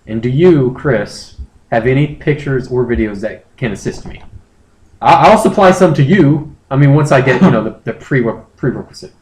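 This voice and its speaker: A man's voice: mid-range voice, animated, normal speed, darkish tone. A man co-hosting a comedy podcast, moving between casual banter and mock-dramatic narration. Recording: roomy, echoey sound.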